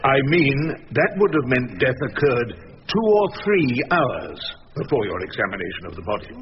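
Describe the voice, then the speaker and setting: A dull, noisy radio recording of a man playing an older English barrister, wry and tenacious. His delivery is theatrical and deliberate, with very animated intonation, as he questions and narrates. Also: mid-range voice, lightly acted. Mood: probing. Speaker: lead actor and narrator. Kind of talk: radio drama acting and first-person narration.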